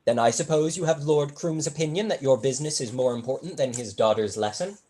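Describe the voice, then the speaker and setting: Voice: mid-range voice, fairly quick, mellow, natural voice. A young student actor playing a witty, brazen male tutor in a staged reading of a period comedy, speaking in an eloquent, arch, formal manner. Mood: brisk, dry. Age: young adult.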